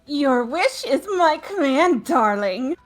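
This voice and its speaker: Woman doing a high, theatrical killer girl, cheerfully deranged. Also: animated, slow, faint music behind. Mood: playful.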